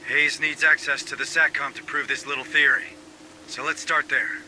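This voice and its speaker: A man's voice: a little high, level tone, moderate pace, pushed and bright, low-quality recording. An actor playing a marine sergeant in a video game, commanding his squad with dry humor. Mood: dry, alert. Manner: commanding, dryly joking. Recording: faint background noise.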